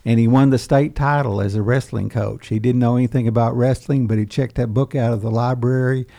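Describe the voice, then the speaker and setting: An older history professor, interviewed as a guest, telling a story unhurriedly with many pauses and fillers. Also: male voice, mid-range voice, fairly even intonation, creaky, mellow.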